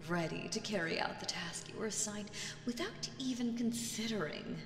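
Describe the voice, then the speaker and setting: A woman playing a seductive, imperious demon queen in an audio roleplay, teasing and condescending, with drawn-out phrasing and breathy asides. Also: medium pitch, lightly acted. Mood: possessive, wistful. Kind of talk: slow roleplay audio drama monologue with whispers. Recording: echoey reverberant hall with music behind.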